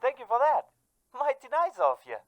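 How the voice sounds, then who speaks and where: A woman playing an energetic, folksy, opinionated man who phones in to a radio drama's show. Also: high voice, normal speed. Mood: chipper.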